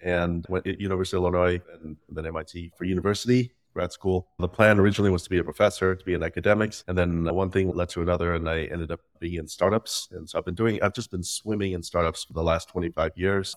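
Low-voiced man telling his story. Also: dry recording, studio quality. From Vietnam, grew up in the US Midwest. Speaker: startup founder, guest. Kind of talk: casual interview conversation.

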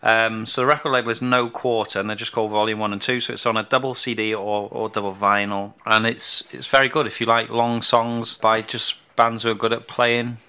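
A man's voice: on the low side, little pitch movement, a little fast, hardly any pauses, sharp tone, clear, resonant tone. A man co-hosting a casual music chat, rambling and restarting, livening up when he enthuses about a band. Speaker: co-host of a music show.

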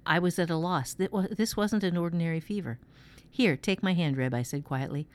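Storybook first-person boy narrator read by a woman: steady, protective, measured, slightly low female register. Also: close to an everyday voice. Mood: alarmed. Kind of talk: scripted fantasy story reading.

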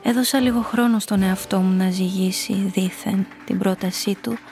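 Woman acting cool nightclub hostess narrating a noir radio play, medium pitch, knowing and composed, music underneath. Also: steady tone, a little mellow, lightly acted. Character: cool, calculating nightclub hostess narrating her own story.

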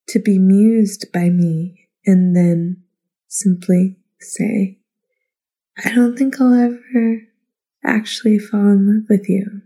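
A woman with a soft-edged, dark voice of medium pitch speaks very slowly. She is reflective and halting, as in intimate personal storytelling or poetry reading. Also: breathy edge. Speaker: listener contributor.

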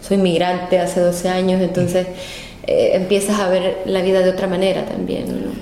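A woman's voice: lowish voice, little pitch movement, moderate pace, warm tone, slight background noise. A Chilean woman in her thirties with a Chilean accent, answering interview questions in a hotel room, hesitant, with many fillers and repeated words. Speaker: guest singer. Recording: roomy echo with faint ambience.

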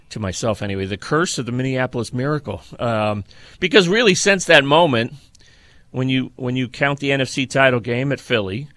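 Bright, forceful middle-aged male sports podcaster. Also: mid-range voice, no echo. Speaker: football podcast host. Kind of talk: conversational sports analysis interview.

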